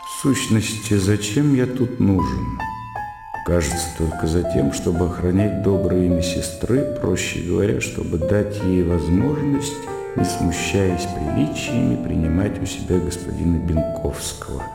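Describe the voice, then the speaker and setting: A young scholar's inner voice for a radio play, low and gravelly. He muses sceptically and very slowly, weighing each thought. Guitar music plays clearly behind him. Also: animated, reverberant, long reverb tail, exaggerated voice. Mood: sceptical brooding. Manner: musing, measured, introspective. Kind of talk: radio drama interior monologue. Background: plucked guitar music.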